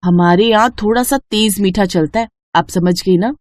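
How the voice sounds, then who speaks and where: A woman's voice: medium pitch, normal speed, no room sound, natural voice. A woman as a chirpy, teasing young sister-in-law. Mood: chirpy, curious. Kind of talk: acted Hindi family storybook dialogue.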